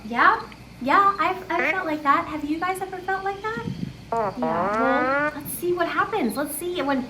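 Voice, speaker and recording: A woman reading a picture book aloud to children as a story-time host, with expressive sing-song delivery and chatty asides to the listeners and a puppet. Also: high-pitched. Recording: echoey room with clear background noise.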